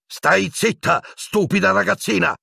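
A man's voice: low-pitched, animated, moderate pace, no room sound, clean studio sound. A man voicing a vindictive, sneering male schoolteacher unhinged with rage in a storybook reading, menacing, hissing and shrieking. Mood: furious.

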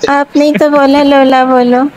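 A man's voice: very high voice, little pitch movement, measured pace. A man voicing a young woman gamer through a girl voice changer, chiding squadmates in casual game chat. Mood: questioning, teasing. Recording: faint noise under game voice chat.

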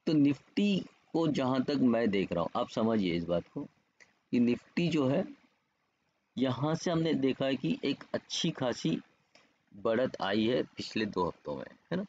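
A man's voice: medium pitch, big pitch swings, a little slow, a little mellow, slight background noise. A man explains markets informally as a trading educator, often checking in with the listener. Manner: explanatory, with repeated check-in tags. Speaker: stock trading educator and host. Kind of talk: informal market analysis over screen charts. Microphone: voice-over on screen recording. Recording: dull, muffled lo-fi voice-over.

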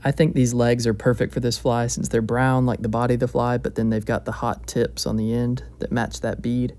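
A man calmly narrating a step-by-step fly-tying tutorial at his bench. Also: mid-range voice, fairly quick. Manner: calm, steady, explanatory.

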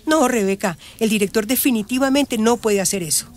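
A woman's voice: fairly high pitch, a little fast, close to an everyday voice. An actress with a Colombian accent playing a confident, supportive woman who explains legal rights in a radio drama. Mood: assertive. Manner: assured, explanatory.

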